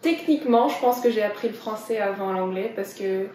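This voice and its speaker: A woman's voice: medium pitch, fairly quick, warm tone, slight background noise. Hesitant woman speaking in a roomy space. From France. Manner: hesitant, many fillers. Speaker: interviewee. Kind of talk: casual interview answers. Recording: roomy, from a distance.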